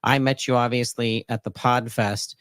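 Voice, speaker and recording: A man's voice: medium pitch, steady tone, moderate pace, fairly bright tone, no echo. Man hosting a podcast, dry close sound. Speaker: podcast host. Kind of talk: podcast introduction and interview.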